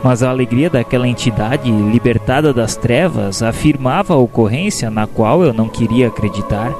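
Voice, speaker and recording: An actor as a humble male spirit narrating his own story in a mid-pitched voice. The delivery is solemn, literary and heartfelt, in religious radio drama style, over clear background music. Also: natural voice. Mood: moved, humble. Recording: music bed behind narration.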